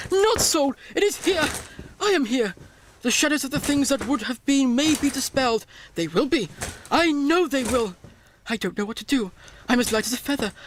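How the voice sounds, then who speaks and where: A very high-pitched old miser in a radio play. He is emotional and declamatory, a repentant soul speaking with theatrical fervour. There is some noise on the recording. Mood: joyful, giddy. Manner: impassioned, declamatory, pleading. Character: repentant old male miser, fearful then giddy with joy. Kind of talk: theatrical radio drama acting.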